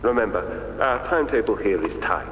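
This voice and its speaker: A man's voice: on the low side, expressive, normal speed, echoey room, long reverb tail, narrow, tinny audio, a little hiss or hum, close to an everyday voice. A man playing a cool, businesslike, impatient executive in a game audio log, formal and clipped.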